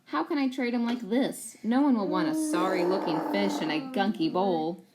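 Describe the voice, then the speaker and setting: A woman's voice: medium pitch, normal speed, heavy background noise. Woman reading a storybook aloud. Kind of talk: expressive children's read-aloud.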